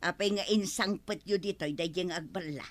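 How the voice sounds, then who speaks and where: A woman's voice: fairly low pitch, a little fast, close to an everyday voice. A woman playing a suspicious, questioning village woman with a probing manner in a comedy radio drama.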